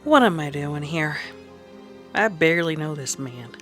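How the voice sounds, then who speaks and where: A vulnerable yet resolute frontier widow in a western radio play. She speaks slowly and dramatically, her pitch moving widely, with music underneath. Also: expressive, a bit bright. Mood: uneasy. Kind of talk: old-time western radio drama acting.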